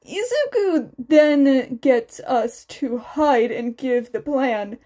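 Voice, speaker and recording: A woman's voice: sits high, animated, slow, mellow, exaggerated voice. A woman voicing an eager, impressed teenage student who recounts things casually in an audio fan fiction reading.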